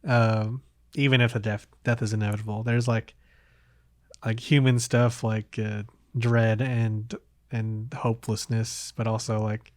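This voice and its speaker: A man hosting a podcast, talking casually about games in a hesitant, halting way. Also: on the low side, flat delivery, a little mellow, dry recording, clean studio sound. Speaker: podcast host.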